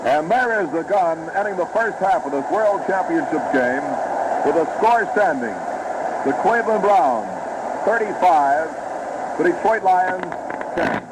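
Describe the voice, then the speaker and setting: Male American sportscaster, fifties radio play-by-play, fairly high voice, formal yet dramatic, noisy old broadcast. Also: expressive, a little slow. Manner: formal, detailed, dramatic narration of each play. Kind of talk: 1950s American football radio commentary.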